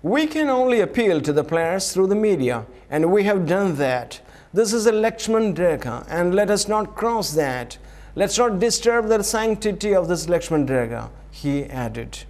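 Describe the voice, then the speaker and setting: A man's voice: high voice, very animated, measured pace, darkish tone. A man reading a scripted news bulletin in a formal announcer manner. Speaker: news reader.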